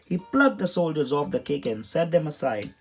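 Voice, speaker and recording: Animated man reading a children's storybook. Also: on the high side, normal speed, creaky, slightly rough. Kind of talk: read-aloud storybook narration. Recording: music behind the voice.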